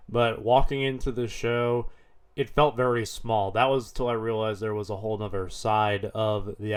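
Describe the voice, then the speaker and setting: A man with a head cold, slightly hoarse, narrating a vlog in a relaxed, matter-of-fact way. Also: lowish voice. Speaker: card dealer vlogger.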